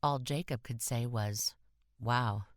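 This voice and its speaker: A woman's voice: extremely low pitch, slow pace, no room sound, clean studio sound. A woman voicing a shy, lonely twelve-year-old boy in an audiobook story, with a plain-spoken, boyish manner. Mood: amazed.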